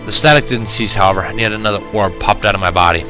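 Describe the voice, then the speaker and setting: A man narrating a first-person fan fiction story in an expressive, wry, dramatic manner. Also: on the low side, quick pace, music in the background. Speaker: audiobook narrator.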